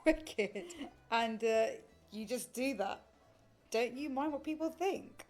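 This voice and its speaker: A woman's voice: a little high, takes it slowly, a little mellow. Eager, admiring woman, music behind. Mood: flirtatious, curious. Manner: eager, inquisitive. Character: fascinated, admiring, flirtatious adult woman dinner guest. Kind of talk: audio drama dialogue.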